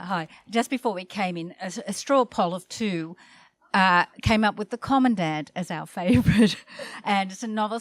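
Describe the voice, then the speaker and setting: A woman asking an informal question of a literary panel as an audience member. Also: mid-range voice.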